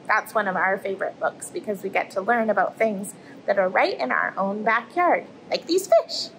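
A woman, reading a picture book aloud to children in an animated storytelling manner. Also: medium pitch, moderate pace, slight background noise, low-quality recording. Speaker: storybook reader and host. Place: indoors in front of an aquarium tank.

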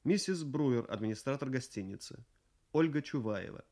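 Man, radio announcer, medium pitch, formal scripted credit reading. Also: animated, moderate pace, darkish tone.

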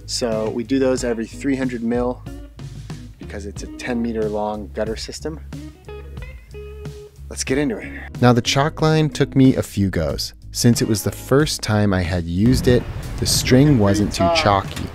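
A man's voice: mid-range voice, measured pace, music in the background. A man hosting a home renovation vlog, plainly explaining each step of a DIY job in a casual way. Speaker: host of a home renovation channel.

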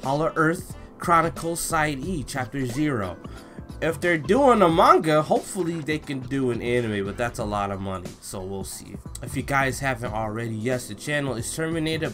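A young man doing casual, slangy reaction commentary and read-along, expressive and given to drawn-out exclamations. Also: medium pitch, faint music behind. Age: young adult. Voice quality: slangy, informal. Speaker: YouTube reaction host. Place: small indoor room at home.

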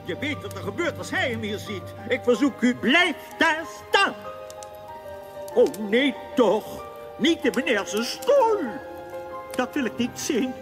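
A man playing a fussy little talking clock in an animated film dub, indignant, fretful and pompous. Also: very high voice, a little slow, music in the background, full character voice.

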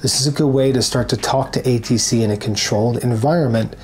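A man's voice: mid-range voice, fast talker, darkish tone, a little hiss or hum. A man in his forties giving scripted, instructional narration to camera as a tutorial presenter. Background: background music.

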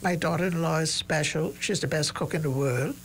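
A woman in her nineties talks in a low, gentle voice into a studio desk mic. She reminisces warmly and pauses now and then to recall a name. A faint hum runs under the recording. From Bahamas. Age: 90s. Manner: gentle, warm, searching for names with pauses. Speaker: interview guest, retired education leader. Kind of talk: reflective interview conversation. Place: small studio, indoors. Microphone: desk microphone on boom.

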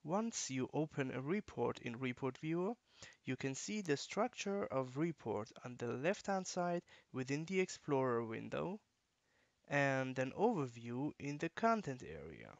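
Measured man narrating a software tutorial. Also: medium pitch, big pitch swings, vocal fry, no echo, muffled recording. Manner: measured, instructional. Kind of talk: scripted screencast narration.

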